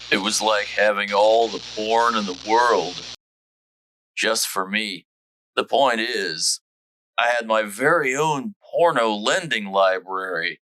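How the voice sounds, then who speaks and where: A man's voice: on the low side, slow pace, bright, forceful tone, low-quality recording. A man playing a laid-back, awkwardly sincere young guy in a comic book dramatization, rambling and hesitant. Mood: sheepish, boastful.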